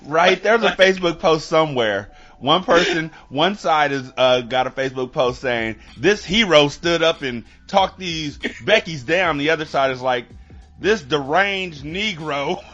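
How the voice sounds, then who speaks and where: A man's voice: on the high side, animated, unhurried, a bit bright, highs cut off, soft background music. A man bantering casually on a podcast, adding playful, joking commentary and repeating words for effect.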